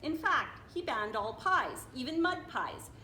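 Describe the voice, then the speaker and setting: A woman reading a children's story aloud in an expressive, playful way, with casual asides. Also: high voice, a little slow. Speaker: storybook reader. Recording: echoey room with faint noise.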